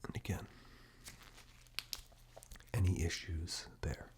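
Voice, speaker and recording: A man doing soft-spoken, half-whispered ASMR roleplay, reassuring and unhurried, speaking directly to the listener close to a binaural microphone. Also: steady tone. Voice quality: breathy whisper mixed with very deep, gravelly murmur. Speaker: ASMR performer playing caregiver and eye examiner roles.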